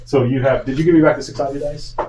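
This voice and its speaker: A man's voice: medium pitch, fairly even intonation, quick pace. A man running a board game session, casually explaining the rules as he goes. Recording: noisy room with clicks and game-piece handling.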